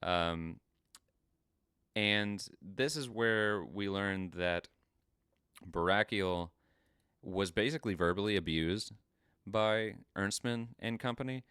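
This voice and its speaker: Very deep-voiced casual male podcaster, crisp. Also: a bit bright, no room sound, studio quality. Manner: informal, sweary, halting as he explains. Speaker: podcast host. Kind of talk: casual review and story recap.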